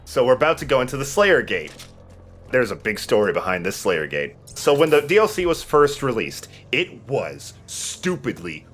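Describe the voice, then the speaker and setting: A man's voice: medium pitch, a bit bright. A man giving casual commentary while he plays a video game, speaking as the host of a let's play. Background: game music.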